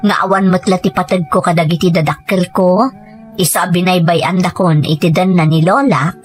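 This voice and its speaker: A woman's voice: on the low side, fairly even intonation, normal speed, close to an everyday voice. A woman in an Ilocano audio drama playing an earnest young woman living among forest rebels. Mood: reflective. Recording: loud music over the voice.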